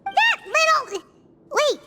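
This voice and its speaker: Tiny game fairy, extremely high squeaky voice, swooping intonation, cheeky, sceptical, excitable. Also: female voice, slow pace, noisy background, heavily acted. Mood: suspicious, indignant. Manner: pert, exaggerated, sceptical. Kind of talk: video game voice acting.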